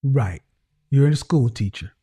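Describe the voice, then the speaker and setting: A man's voice: medium pitch, expressive, measured pace, warm tone, husky. A middle-aged man hosting a call-in advice show, lecturing a caller in a harsh, repetitive way over a video call. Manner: harsh, lecturing, repeats phrases. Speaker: host.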